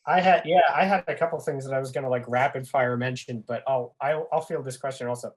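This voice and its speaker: A man's voice: medium pitch, fast. A man talking as a guest in a casual discussion, hesitantly working through his thoughts as he goes. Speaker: podcast guest, fan community member.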